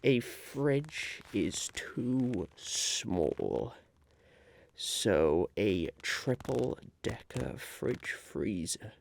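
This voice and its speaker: A man playing a polite, harried would-be businessman chairing a meeting in a soft-spoken, halting ASMR roleplay. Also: lowish voice, sing-song, creaky, slight background noise, heavily acted. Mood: patient, bemused. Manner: hesitant, halting, politely patient.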